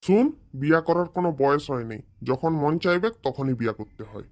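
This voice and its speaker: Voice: medium pitch, lively intonation, a little fast, a little mellow, lightly acted. A performer voicing a stubborn old father in a comedy cartoon, retorting defiantly. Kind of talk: exaggerated comic cartoon dialogue.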